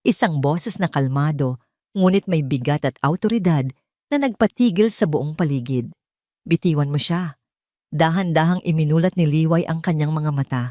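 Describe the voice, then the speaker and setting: A woman's voice: low-pitched, moderate pace, mellow, dry recording, studio quality. A woman reading a scripted emotional story as a dramatic narrator.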